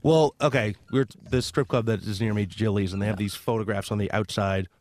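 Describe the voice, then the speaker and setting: A man hosting a casual chat, halting with many restarts and dry jokes. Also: lowish voice, darkish tone. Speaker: podcast host.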